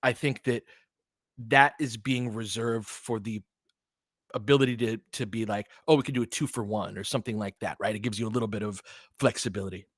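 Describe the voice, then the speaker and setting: A man's voice: fairly low pitch, fairly even intonation, brisk, a bit bright, no room sound, studio quality. A man hosting a basketball stream, giving casual play-by-play mixed with chat in a conversational, slangy way with clipped phrases.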